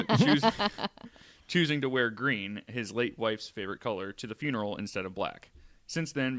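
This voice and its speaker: Bright, forceful low-voiced man co-hosting a podcast. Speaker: podcast co-host. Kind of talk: casual chat with read-out ghost stories.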